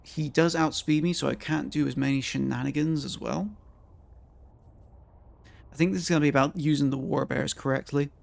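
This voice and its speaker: A male streamer with a croaky voice, chatting casually to his viewers as he thinks aloud and plans his moves in a strategy game. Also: fairly high pitch, fairly even intonation, moderate pace, long pauses. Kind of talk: casual gameplay commentary to chat.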